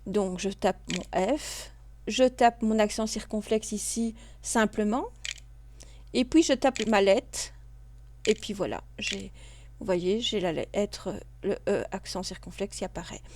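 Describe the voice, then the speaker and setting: A woman, a computer class instructor calmly talking through a screen tutorial step by step. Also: medium pitch, slow, slight background noise. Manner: patient, step-by-step, with small restarts and fillers. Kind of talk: screen-recorded tutorial narration.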